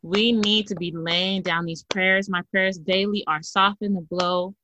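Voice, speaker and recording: A woman's voice: medium pitch, fairly even intonation, measured pace, noisy background. A woman podcast host, affirming her guest and speaking with preacherly repetition. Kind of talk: casual chat.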